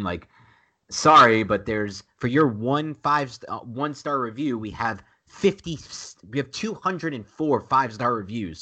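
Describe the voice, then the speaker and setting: A man's voice: on the low side, pushed and bright. A man with a nasal voice talking casually and emphatically as co-host of a sports podcast, repeating himself for emphasis.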